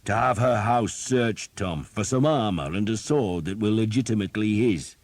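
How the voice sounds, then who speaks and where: A man voicing a gruff, foul-mouthed archer captain. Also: on the low side, normal speed, dry recording, voice pushed up. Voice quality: shouting, cursing.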